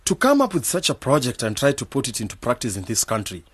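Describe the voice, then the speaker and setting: An actor playing a skeptical, sober-minded young graduate man in a radio drama, arguing and questioning, with a husky voice. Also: medium pitch, huge pitch swings, quick pace, a bit bright, dry recording, exaggerated voice. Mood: skeptical, firm. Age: young adult. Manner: questioning, argumentative.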